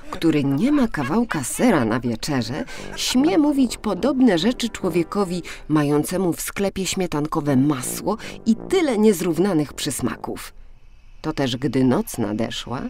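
Woman narrator for a children's fairy tale audiobook, deliberate pacing, highly melodic delivery, music underneath. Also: darkish tone.

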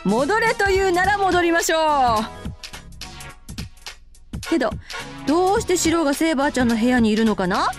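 High, animated big-sister game character. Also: female voice, moderate pace, long pauses. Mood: playfully nosy. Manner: theatrical, singsong, exaggerated. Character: boisterous, playful big-sister woman, teasing and nosy. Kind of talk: Japanese anime-style game voice acting. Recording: background music under the voice.

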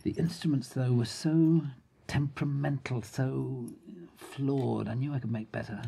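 An actor playing a boastful, eccentric old inventor who rambles and brags in a radio drama. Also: male voice, medium pitch, a little slow, creaky, slight background noise. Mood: proud reminiscing. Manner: animated, bragging, rambling.

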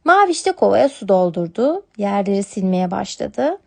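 A woman's voice: mid-range voice, sing-song, normal speed, creaky. A woman narrating a scripted storybook tale for children as a storyteller.

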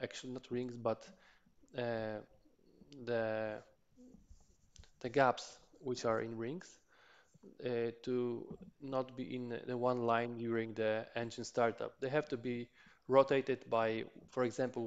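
A man in his thirties, a Polish native speaker, explaining an engine rebuild in his workshop, talking haltingly with many fillers and restarts. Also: mid-range voice, clean tone, muffled recording. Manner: slow and hesitant, many filler sounds and restarts. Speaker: motorcycle mechanic channel host. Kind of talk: hands-on repair tutorial. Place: cluttered home workshop.

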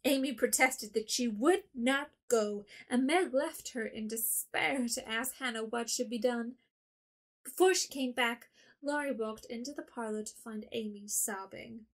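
A woman narrates an audio drama in a steady storytelling voice. Her pitch sits a little high, and her delivery is even and clear. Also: unhurried. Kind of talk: scripted narration.

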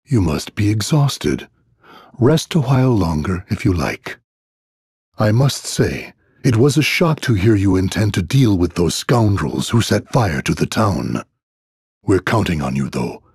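An actor playing a kindly, fatherly middle-aged innkeeper in a video game, gentle and folksy in manner. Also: deep voice, sing-song, unhurried, slightly rough, dry recording, studio quality, full character voice. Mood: gentle concern.

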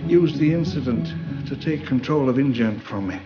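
An elderly gentleman played by a male actor speaks as a genteel park founder making his case, at a middling pitch. The film dialogue sounds slightly dull, with music clearly behind it. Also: lively intonation, normal speed, lightly acted. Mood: earnest, rueful. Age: older. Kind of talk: film dialogue in a video game cutscene.

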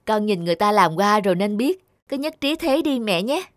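A man's voice: sits high, big pitch swings, brisk, no echo, clean studio sound. A man voicing a caring young father in a cartoon, reassuring and coaxing. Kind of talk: cartoon voice acting.

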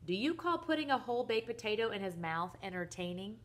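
A woman's voice: mid-range voice, normal speed, fairly bright tone. A woman playing an emphatic, grumpy, exasperated dad. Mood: indignant. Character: grumpy, exasperated father scolding about his son.

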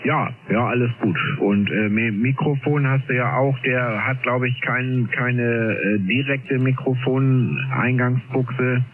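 A man's voice: mid-range voice, slow, hardly any pauses. A man chatting casually as an amateur radio operator over a shortwave transmission. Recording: narrow phone-like shortwave radio, faint noise.

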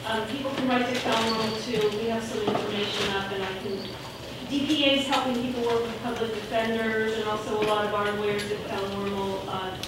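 A woman's voice: medium pitch, steady tone, a little fast, far from the mic. A woman from an advocacy group answering from the audience with helpful information. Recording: echoey room, off-mic, noisy.

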